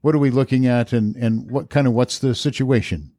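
A man interviews guests casually as a podcast host. His voice is average in pitch and recorded dry, with no room sound around it. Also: fairly even intonation, brisk, dark tone, no room sound.

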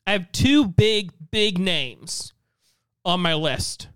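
A man's voice: high-pitched, lively intonation, takes it slowly, a bit bright, smooth, no room sound. A man co-hosting a podcast, speaking in casual panel chat. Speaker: podcast co-host.

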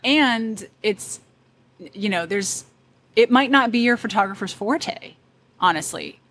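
A woman talking as a wedding photographer guest in a casual interview, animated and confident, laughing often. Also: medium pitch, takes it slowly.

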